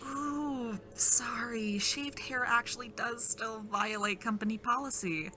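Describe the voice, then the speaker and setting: A woman's voice: a little high, fairly even intonation, slow, noisy background. A woman playing a falsely cheerful, patronizing corporate manager in an audio drama, speaking in scripted polite phrases. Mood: dismissive. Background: music.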